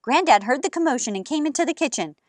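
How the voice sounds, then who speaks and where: A woman in her thirties hosting a children's storytime, narrating in an expressive, animated way. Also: high voice, quick pace, no room sound, studio quality. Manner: animated, gesturing.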